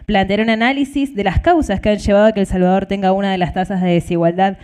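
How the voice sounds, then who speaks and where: Mellow-voiced woman moderator. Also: medium pitch, fairly even intonation, quick pace, smooth. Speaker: event moderator. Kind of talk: formal speaker introduction.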